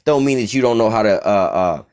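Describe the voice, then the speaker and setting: A man's voice: lowish voice, animated, brisk, a little mellow. A man from the United States with an American accent, giving an informal, profane bible teaching and keeps asking "all right?".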